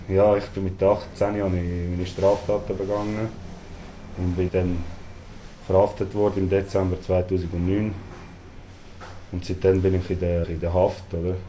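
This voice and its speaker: A young man in his twenties speaks with a Swiss German accent, his first language Serbian. His voice is low and very dark, muffled and mellow. He hesitates often, filling gaps and ending thoughts with questioning tags, in an echoey small room with faint noise behind. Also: level tone, normal speed. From Switzerland. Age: 20s. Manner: hesitant, many "äh" fillers and tag "oder?". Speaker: prison inmate interviewee. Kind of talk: interview answers. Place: small storeroom in a prison.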